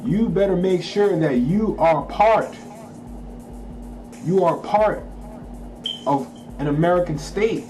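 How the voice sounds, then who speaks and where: A man's voice: high voice, slow, pauses often, mellow, poor audio. Man reading and explaining, over music. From Haiti. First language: Haitian Creole. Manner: emphatic, checks in with listeners, slangy asides. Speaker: channel host. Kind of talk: casual commentary reading aloud from a document.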